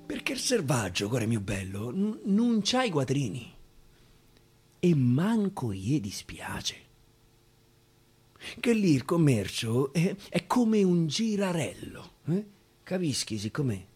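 A man with a Roman accent reciting dialect verse theatrically, swinging from loud declaiming to a hushed whisper. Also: on the high side, big pitch swings, takes it slowly, slightly rough. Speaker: actor reciting dialect poetry. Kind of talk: theatrical poetry recitation for radio. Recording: live guitar accompaniment.

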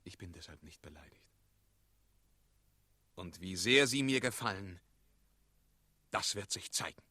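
A man playing a calm, confident, plain-spoken knightly hero in a 1960s German radio play, sincere and measured. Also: sits low, breathy edge, no room sound, studio quality, exaggerated voice. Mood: tender, assured.

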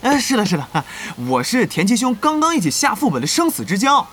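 High-voiced, excitable boastful gamer guy. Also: fairly quick, slight background noise, heavily acted. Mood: boastful, eager. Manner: excitable, pushy, wheedling. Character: boastful, clingy young male gamer, eager and wheedling. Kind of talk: audio drama acting.

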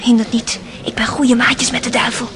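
A woman's voice: fairly high pitch, little pitch movement, moderate pace, fairly bright tone, natural voice. An actress playing a mischievous young woman in a radio drama, taunting and provocative, sometimes half whispering. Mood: gleeful, conspiratorial. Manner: mocking, provocative, dropping into whispers.

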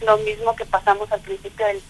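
A woman's voice: medium pitch, flat delivery, a little fast, poor audio. A middle-aged woman from Mexico City with a Mexican accent, telling her story as a guest on a call-in interview, chatty with lots of fillers and laughter. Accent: Mexican Spanish. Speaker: small business owner, interview guest. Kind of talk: casual phone interview. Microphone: telephone call.

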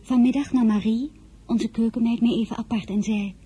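An earnest fourteen-year-old girl in a radio play. Her voice is fairly high and soft-toned. She speaks with naive curiosity and candour, as if confiding in a diary. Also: steady tone, normal speed, breathy edge, faint music behind. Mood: earnest, matter-of-fact. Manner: naive, wondering, candid.

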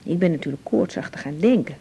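A woman's voice: lowish voice, animated, fast, vocal fry, dark tone, a little hiss or hum. A Dutch actress playing an anxious, affectionate young woman doctor in a naturalistic radio drama, hesitant and searching for words. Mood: troubled, confiding. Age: young adult.

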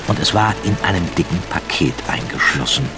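Solemn literary narrator, low voice, measured storytelling, radio drama, loud music behind. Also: male voice, lively intonation.

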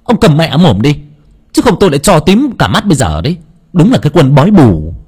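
Man doing a furious, fast-shouting village father. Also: on the high side, wildly swooping pitch, slightly rough, pitched above the performer's own voice, heavily acted. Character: hot-tempered village father, shouting in fury.

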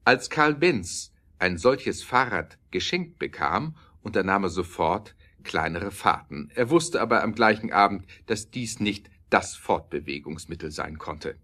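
A male narrator with a low, deep voice tells a scripted story. His phrasing is measured and literary, with clear emphasis on key words. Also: animated, bright, forceful tone.

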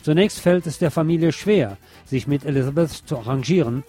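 Man, film reviewer, dark relaxed voice, narrated review, faint music underneath. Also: a little high, fairly quick. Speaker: film reviewer, podcast host.

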